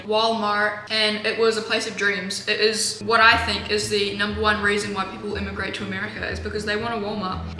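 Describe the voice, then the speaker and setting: A woman vlogging in a home kitchen, chatting in a rambling way with sarcastic, deadpan asides, recorded on a camera mic. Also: mid-range voice. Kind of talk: casual vlog chat. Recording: roomy with music bed.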